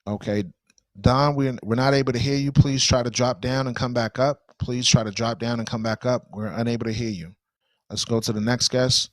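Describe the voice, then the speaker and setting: Lively male call-in radio host. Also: medium pitch, normal speed, no echo, studio quality. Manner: energetic, hypes callers on.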